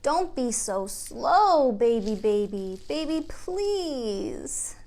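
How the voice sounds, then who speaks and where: Slow, higher-voiced woman hosting children's storytime. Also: sing-song, darkish tone, slight background noise. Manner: addresses young children in simple encouraging phrases. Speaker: children's storytime host at a library. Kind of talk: children's storytime with songs and picture-book read-aloud.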